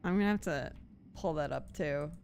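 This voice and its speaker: A woman's voice: deep voice, moderate pace, darkish tone, a little hiss or hum. A woman streaming a video game, chatting casually and thinking aloud in a giggly, talkative way. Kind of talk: casual live stream chat. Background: game music.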